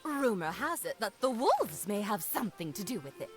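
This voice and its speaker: A woman voicing a vain, flirtatious, greedy magpie queen in a cartoon adventure game, with theatrical, haughty flattery. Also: medium pitch, moderate pace, soft background music, close to an everyday voice. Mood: grand, self-satisfied.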